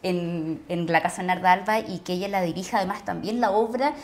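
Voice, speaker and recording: A middle-aged Chilean woman, an actress, talking casually and effusively as an interview guest, often pausing to search for words. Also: on the low side. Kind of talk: casual conversation, reflecting on acting work.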